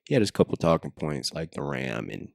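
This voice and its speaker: A man's voice: extremely low pitch, sing-song, brisk, vocal fry, a little mellow, no echo, studio quality. An American man giving casual, unscripted reaction commentary in a slangy style full of swearing. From United States. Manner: slangy, heavy swearing, repeats checking phrases. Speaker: reaction video host.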